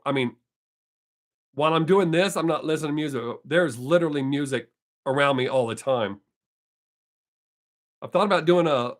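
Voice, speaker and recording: A man's voice: on the high side, a bit bright. An older man, chatting casually with viewers on his live stream, rambling and correcting himself as he goes, his voice still not fully recovered.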